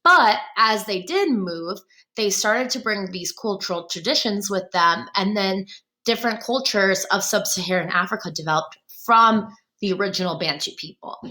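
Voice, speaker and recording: A woman teacher reads a textbook passage aloud, then breaks it down patiently in plain words. Her voice sits at an ordinary middle pitch. The room around her is roomy and echoey. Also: normal speed, sharp tone. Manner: patient, explanatory. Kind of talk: reading a textbook aloud to a class with explanations. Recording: roomy echo.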